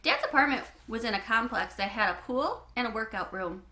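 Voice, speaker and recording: A woman reads a children's story aloud in a high, bright voice. Her delivery is animated and clearly phrased, with lots of melodic movement, in a roomy, echoey space. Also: fairly quick. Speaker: read-aloud host. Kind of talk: expressive children's book narration.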